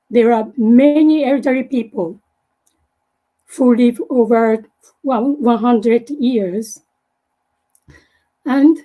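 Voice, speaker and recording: A woman from Japan with a Japanese accent, a native Japanese speaker, giving a halting presentation over a video call with many fillers and restarts. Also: a little high, warm tone, low-quality recording. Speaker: guest presenter.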